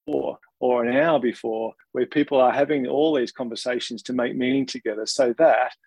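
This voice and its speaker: Gravelly, husky Australian man. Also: mid-range voice, fairly even intonation, darkish tone, no room sound, low-quality recording. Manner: thoughtful, measured, with small restarts. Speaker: interview guest, organisational leader. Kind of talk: reflective interview answers.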